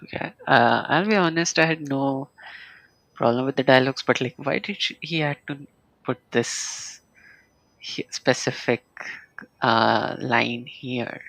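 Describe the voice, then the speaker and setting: A man's voice: mid-range voice, measured pace, gravelly, pushed and bright, dry recording, low-quality recording. A man streaming a game live, reacting and musing aloud in casual commentary. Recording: game music behind the voice.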